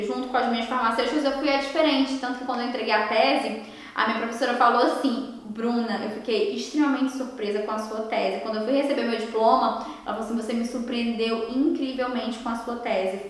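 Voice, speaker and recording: A young woman, telling her story at an online conference in an animated way, often checking in with the audience. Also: sits high. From Ceará, Brazil. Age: 20s. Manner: animated storytelling, frequent tag questions to the audience. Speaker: beauty therapist speaker at an online conference. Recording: very echoey room.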